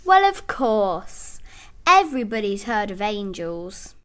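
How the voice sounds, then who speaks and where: A storybook narrator voicing a polite, curious seven-year-old girl with wide-eyed, well-mannered, drawn-out speech. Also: mid-range voice, very animated, fairly bright tone, smooth, pitched above the performer's own voice. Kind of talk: children's storybook character voice.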